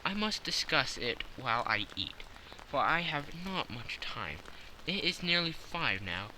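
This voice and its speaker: A commanding detective acted out by a man reading an audiobook lays out instructions with precise authority. His tone is very bright and forceful, with lively pitch movement and an unhurried pace. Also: slight background noise, heavily acted, low-quality recording. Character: commanding, keen-minded male detective, briskly self-assured. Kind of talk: audiobook character dialogue.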